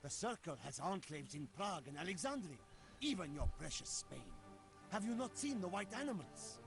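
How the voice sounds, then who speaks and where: A man's voice: high voice, big pitch swings, normal speed, vocal fry, music in the background, heavily acted. An actor playing a defiant, earnest captive Ottoman warrior in a video game cutscene, accusing in manner. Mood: defiant, urgent.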